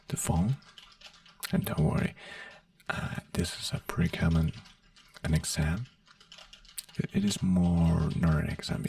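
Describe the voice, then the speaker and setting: A man murmurs in a soft, half-whispered ASMR doctor roleplay, gentle and reassuring, with halting phrasing. His deep voice has a gravelly edge. It sounds dry and a little distant from the mic. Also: no room sound. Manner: halting non-native phrasing with fillers.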